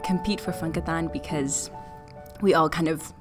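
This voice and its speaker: Young woman, from Ethiopia, low pitch, thoughtful, pausing, music behind. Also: fairly even intonation. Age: young adult. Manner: thoughtful, speaks with fillers and pauses. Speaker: student interviewee. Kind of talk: casual interview.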